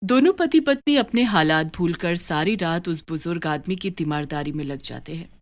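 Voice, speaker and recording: Expressive woman storyteller. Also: on the low side, moderate pace. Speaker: narrator. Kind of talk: scripted storytelling narration.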